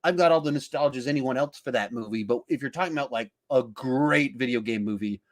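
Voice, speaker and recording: A man's voice: mid-range voice, fast. A man in his forties hosting a podcast, talking casually and explaining his points with emphasis on key words. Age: 40s. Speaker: podcast host. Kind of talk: casual film discussion podcast.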